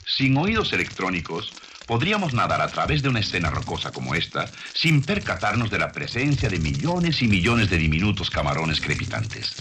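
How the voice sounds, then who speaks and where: Low-voiced man narrating with lively intonation. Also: a little fast, slight background noise. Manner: didactic, rhetorical questions to the listener. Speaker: documentary narrator. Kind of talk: scripted nature documentary narration.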